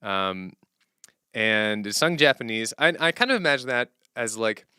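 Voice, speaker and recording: Male streamer, lowish smooth voice, casual and animated, rambling thinker-aloud, dry close sound. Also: moderate pace, dry recording. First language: English as main language, German heard at home. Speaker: streamer and songwriter. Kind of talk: casual unscripted stream talk.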